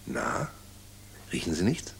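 An actor in a 1960s German radio drama playing a cheeky, loyal military orderly, husky, breezy and mock-deferential. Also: male voice, takes it slowly, a little hiss or hum, natural voice. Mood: teasing.

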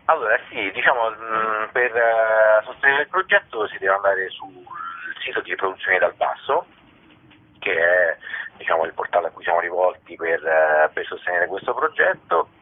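A man answering interview questions as a documentary director, explaining in a halting way, searching for words. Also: fairly low pitch, lively intonation. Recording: thin, telephone-like radio line.

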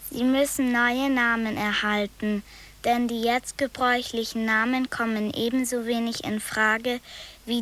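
A woman reading old newspaper reports in an even, formal tone. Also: a little high.

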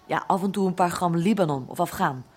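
An actress playing an overburdened small-time soft-drug dealer in a radio drama, speaking directly and colloquially. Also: female voice, lowish voice, brisk, slightly rough. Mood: pressing. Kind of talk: naturalistic radio drama acting.

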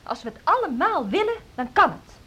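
A woman's voice: sits high, very animated, a little fast, a bit bright. A woman playing a spirited, stubborn, idealistic teacher in a radio drama, breezy and combative in debate. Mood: defiant. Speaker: actor in a radio play. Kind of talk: naturalistic Dutch radio drama dialogue.

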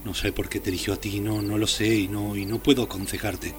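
An actor playing a grave, solemn messenger and sage of an imperial tower in a fantasy radio drama. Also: male voice, lowish voice, little pitch movement, close to an everyday voice. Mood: solemn instructing. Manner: solemn, deliberate, portentous. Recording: radio play with music underneath.

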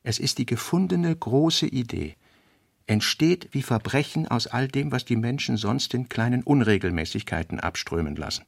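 Guy narrating radio drama, bit of fry in his voice, dry close sound, clipped and deliberate. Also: mid-range voice, lively intonation, no room sound. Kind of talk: literary radio drama narration, reading fragmentary notes.

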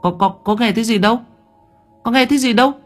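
A man's voice: fast, soft background music. A man voicing scared children in a ghost story, repeating their words in a panicky stammer. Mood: panicky denial. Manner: stammering, shrill repetition. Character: group of scared children denying they heard anything.

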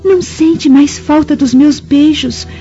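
High-voiced spurned young Roman lover. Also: female voice, measured pace, mellow. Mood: wounded longing. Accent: Brazilian. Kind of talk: Brazilian radio drama acting. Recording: muffled radio drama with music.

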